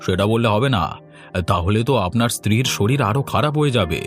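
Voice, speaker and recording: Low male voice, actor as calm firm doctor, instructive, cartoon dialogue, music behind. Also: a little fast, close to an everyday voice. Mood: firm, advising. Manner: instructive, admonishing.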